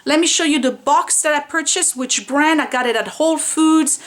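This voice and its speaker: A woman's voice: high voice, moderate pace, fairly bright tone. Chatty woman in her forties vlogging. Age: 40s. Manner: chatty and candid, rambling with fillers. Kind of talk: casual home hair-care vlog. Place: home room by a window.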